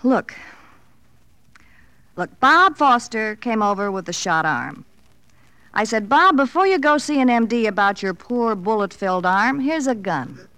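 An actress playing a flippant, sardonic nightclub dancer in an old radio crime drama, theatrical and arch. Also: female voice, a little high, lively intonation, unhurried, sharp tone, no echo. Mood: uneasy, defensive. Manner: theatrical, mock-breezy, arch.

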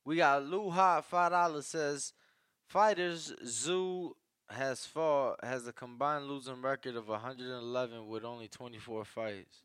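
Smooth-voiced laid-back man. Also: medium pitch, unhurried, fairly bright tone, no room sound. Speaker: podcast co-host reading super chats. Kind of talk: casual boxing chat.

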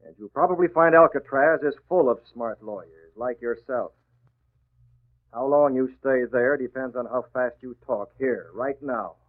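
An actor playing a tough, sardonic masked vigilante in 1940s radio drama style, speaking in clipped, menacing phrases. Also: male voice, medium pitch, moderate pace, close to an everyday voice. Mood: sardonic, cool. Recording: muffled, narrow-band old radio broadcast.

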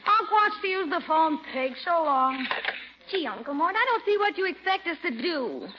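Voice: very high voice, big pitch swings, normal speed, background noise. A performer playing a cheeky, sulky schoolboy nephew with a whiny, brash manner in 1940s radio comedy style. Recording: muffled old radio broadcast.

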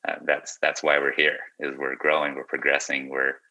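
A man's voice: very deep voice, completely flat delivery, normal speed, pushed and bright, lo-fi sound. A young man speaking as a podcast guest, reflective and casual, feeling his way through his thoughts. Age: young adult.

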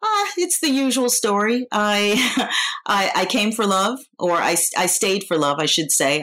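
A woman's voice: lowish voice, fairly bright tone. An American woman in her fifties from New York, talking as a guest speaker in a casual, conversational interview. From New York, United States. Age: 50s.

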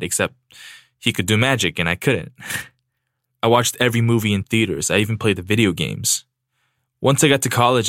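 A young man from South Korea, a native Korean speaker, reads a prepared personal essay in a steady, reflective way. His voice sits on the low side. The sound is dry and close. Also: dry recording. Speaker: podcast producer.

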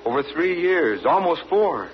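An actor playing an earnest young farm son with a rural American accent, in an old-time radio drama. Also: male voice, fairly high pitch, very animated, a little slow, soft background music. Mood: wistful. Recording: dull old radio recording.

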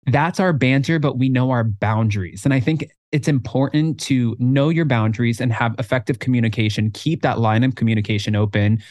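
Lively man in his twenties, dry mic. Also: fairly quick, few pauses, no echo. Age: 20s. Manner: animated, jumps up in pitch when quoting himself. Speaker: podcast co-host. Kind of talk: casual chat.

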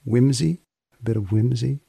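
Guy podcast host, gravelly creaky voice, deliberate probing questions, very dry sound. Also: mid-range voice, dark tone, dry recording. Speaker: host interviewer. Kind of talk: casual interview questioning.

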